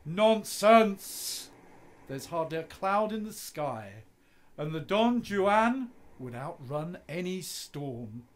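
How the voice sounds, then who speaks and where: An actor playing an idealistic, stubborn young husband and poet in a radio play, breezy and insistent. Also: male voice, high voice, big pitch swings, slow pace, exaggerated voice. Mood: confident.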